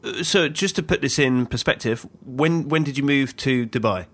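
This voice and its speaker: A man hosting an interview, asking friendly follow-up questions with short "yeah"s and quick laughs. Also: medium pitch, fairly bright tone. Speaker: interviewer, host. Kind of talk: casual interview.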